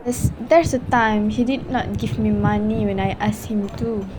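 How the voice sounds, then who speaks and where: A woman's voice: mid-range voice, fairly even intonation, measured pace, background noise, natural voice. A woman playing a troubled, long-suffering young wife and mother in an amateur radio drama, earnest and plaintive.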